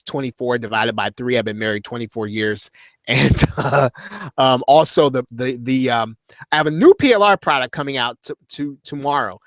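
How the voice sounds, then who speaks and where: A man's voice: medium pitch, a bit bright, no echo. A man in his forties hosting an online show, talking casually to his viewers and rambling with many fillers and restarts. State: losing his voice. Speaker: host of an online marketing show. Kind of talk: casual live-stream talk to viewers.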